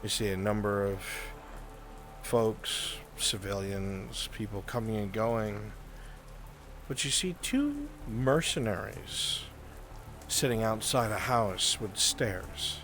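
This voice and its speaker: Low-voiced male game master narrating. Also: very animated, slow pace, fairly bright tone, soft background music. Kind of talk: improvised roleplay narration.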